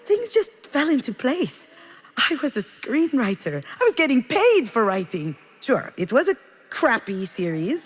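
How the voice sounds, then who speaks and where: A woman playing a reflective, grieving mother who narrates her memories in a measured, confessional monologue for a video game. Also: medium pitch. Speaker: narrating protagonist of a visual novel. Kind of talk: dramatic first-person game monologue. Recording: long reverberant hall echo.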